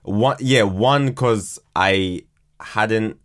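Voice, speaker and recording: A man's voice: lowish voice, extremely slow, a bit bright. A man from Ghana chatting casually as a podcast guest, modest and hesitant, often repeating his words. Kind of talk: casual interview chat.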